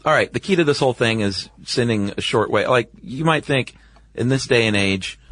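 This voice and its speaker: A man's voice: medium pitch, lively intonation, moderate pace, over music. A man co-hosting a podcast in an informal, conversational way. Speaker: podcast co-host. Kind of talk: casual podcast chat.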